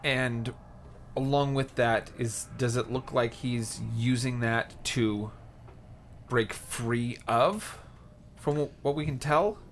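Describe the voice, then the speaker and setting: Slow-talking man at a tabletop game. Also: medium pitch, slight background noise. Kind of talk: casual chat.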